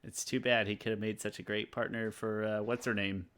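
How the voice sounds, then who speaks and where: A man in his thirties with a fairly low voice. He talks casually as a podcast co-host, musing out loud and dropping in joking asides. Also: little pitch movement, a little fast, clear, resonant tone. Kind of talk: casual chat.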